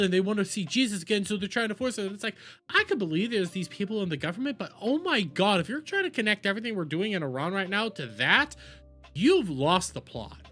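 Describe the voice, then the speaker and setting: A man's voice: high-pitched, a bit bright. A man giving casual, rambling livestream commentary and reactions in a blunt, emphatic, profane way.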